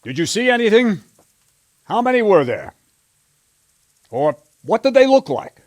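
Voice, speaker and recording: An actor playing a grimly determined medieval captain in a video game, authoritative and blunt. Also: male voice, high-pitched, big pitch swings, measured pace, dry recording. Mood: probing. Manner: authoritative, blunt, oath-swearing. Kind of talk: dramatic video game acting.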